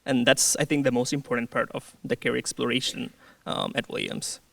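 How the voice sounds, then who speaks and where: A man's voice: medium pitch, huge pitch swings, fairly quick, gravelly, a bit bright, no room sound, distant mic. A young man from Bangladesh, a student peer advisor, introducing himself on a panel with frequent fillers and restarts. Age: young adult. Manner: hesitant, many fillers and restarts.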